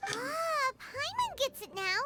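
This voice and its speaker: A woman voicing a tiny, perky, childlike fairy companion in an anime-style video game, with a squeaky voice. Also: big pitch swings, slow pace, bright, forceful tone, faint music behind, full character voice. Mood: curious. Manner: speaks of herself in third person.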